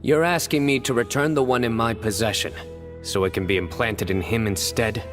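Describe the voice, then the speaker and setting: A man voicing a calm, stoic young warrior judge in a video game, serious and restrained, choosing words deliberately. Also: medium pitch, music in the background, close to an everyday voice. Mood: guarded, probing. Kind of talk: dramatic video game voice acting.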